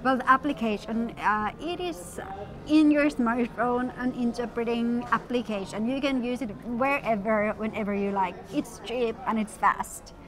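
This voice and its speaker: A middle-aged woman from Finland, interpreting a guest's words in first person with steady, slightly formal explanation. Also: fairly high pitch, moderate pace, poor audio. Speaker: sign language interpreter voicing the guest. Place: outdoor courtyard at a busy event. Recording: outdoor event with crowd noise. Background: crowd chatter.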